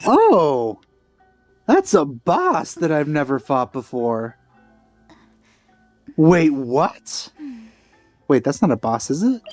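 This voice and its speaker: A man's voice: on the high side, very animated, slow pace, long pauses, darkish tone, dry recording. A man giving casual let's play commentary, informal and musing to himself as he goes. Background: faint game music.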